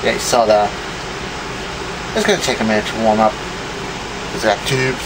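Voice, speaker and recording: A man hosting a casual, unscripted gadget demonstration, talking in an offhand, rambling way. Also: normal speed. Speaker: video host showing vintage electronics. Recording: clear background hum and rumble noise.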